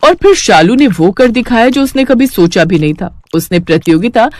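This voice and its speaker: A woman's voice: a little high, sing-song, normal speed, a little mellow, dry recording, clean studio sound. A woman narrating a scripted cartoon family story.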